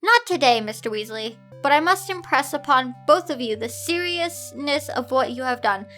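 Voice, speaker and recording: A formal, strict lady professor from a storybook , read out by a woman. She admonishes in a high, bright voice, with faint music behind her. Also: animated, normal speed. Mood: stern, measured. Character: formal, strict female professor, head of house. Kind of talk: fan fiction storybook reading.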